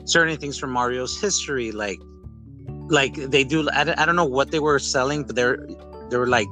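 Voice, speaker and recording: A man in a casual, rambling podcast-style chat reviewing a film, with animated retellings. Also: mid-range voice, moderate pace, faint music behind. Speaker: podcast host.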